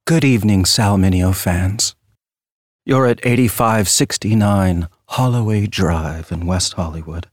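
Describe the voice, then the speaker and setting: An actor playing a flippant, showy true-crime tour guide, narrating with wry theatrical flair. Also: male voice, low voice, no echo, studio quality. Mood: wry, eerie. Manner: theatrical, wry, drawn-out storytelling.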